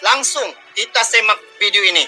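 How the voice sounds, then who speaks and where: Bright-voiced Malaysian man commenting. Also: high-pitched, normal speed, soft background music. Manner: chatty, with frequent "uh" fillers. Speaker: reaction video host. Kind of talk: casual commentary.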